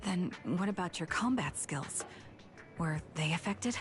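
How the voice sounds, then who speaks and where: A woman playing a calm, caring soldier in a video game, composed and gently chiding her squad. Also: lowish voice, a little slow, soft background music.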